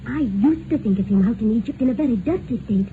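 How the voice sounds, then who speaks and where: High-pitched housemaid played by a woman, 1950s radio play, excitable and gossipy, very lively intonation, noisy vintage sound. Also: quick pace, narrow, tinny audio, lightly acted. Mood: chatty, wistful. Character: chatty, emotional working-class housemaid, landlady's daughter. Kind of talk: 1950s radio drama acting.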